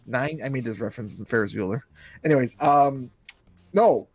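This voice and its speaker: A young man co-hosting a gaming podcast in casual chat, jokey and animated, slipping into silly voices for stories. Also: mid-range voice, moderate pace, no room sound. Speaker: podcast co-host.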